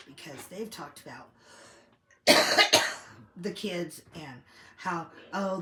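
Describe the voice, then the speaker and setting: A woman with a low voice, a little hoarse from a lingering cold, talks through her opinions in a measured, thinking-aloud way. She sounds like a casual commentary host. The recording carries a roomy echo. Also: bright, forceful tone, a little hiss or hum. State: getting over a cold, slightly hoarse.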